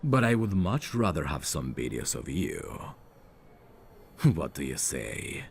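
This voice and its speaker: Man playing a very deep, gravelly, flirtatious mafia boss. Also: huge pitch swings, slow pace, slight background noise, heavily acted. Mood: playful flirting. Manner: smug, drawling, intimate teasing. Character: teasing, flirtatious, menacing male mafia boss. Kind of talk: ASMR roleplay voice acting.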